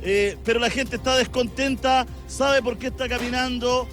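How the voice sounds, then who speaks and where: A man's voice: extremely high pitch, fairly even intonation, normal speed, a bit bright, soft background music. A man with a Chilean accent reporting live from a busy city avenue outdoors, talking conversationally and asking passers-by short questions. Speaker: street reporter. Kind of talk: live field news report with street interviews.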